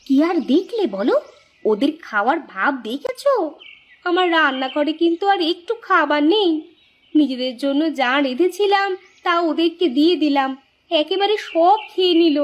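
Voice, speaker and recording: A woman voicing an expressive village aunt in a cartoon, with a homely, coaxing and scolding manner. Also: very high-pitched, normal speed. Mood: grumbling, suspicious. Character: village aunt, hospitable upfront, grumbling privately. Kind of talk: amateur cartoon dubbing.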